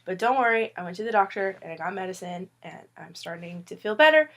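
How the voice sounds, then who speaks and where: A woman's voice: medium pitch, expressive, fast. A woman under the weather walks viewers through how she makes her comics. She is a bit loopy and rambles with self-mocking jokes. Her talk stays casual and friendly while she is fighting off a cough. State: sick with a cough. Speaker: webcomic artist sharing tips. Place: indoors at a wooden table.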